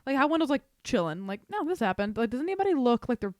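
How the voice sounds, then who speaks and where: A woman's voice: sits high, very animated, fast talker, a little mellow, no echo, clean studio sound. A woman playing a tabletop role-playing game, chatting casually and asking the game master questions.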